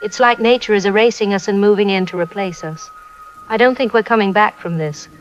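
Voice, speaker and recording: A woman's voice: mid-range voice, brisk, natural voice. A woman playing a resigned, solemn radio operator speaking reflectively in an apocalyptic radio drama. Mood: somber, defeated. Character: somber, resigned female radio operator survivor. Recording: dull, noisy radio with rain.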